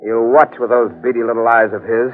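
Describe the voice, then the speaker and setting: A man's voice: medium pitch, level tone, fairly quick, close to an everyday voice. An actor playing a tense, suspicious man wary of the gorilla he captured, speaking in grave clipped phrases in 1940s radio horror style. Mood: wary, warning. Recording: muffled, narrow old radio recording.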